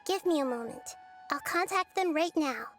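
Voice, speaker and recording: A woman voicing a small, childlike girl deity in a video game, wise and kind, speaking in a thoughtful, gentle and measured way. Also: high-pitched, lively intonation. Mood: reassuring. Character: small girl deity, wise, kind and caring beyond her apparent years. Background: soft game music.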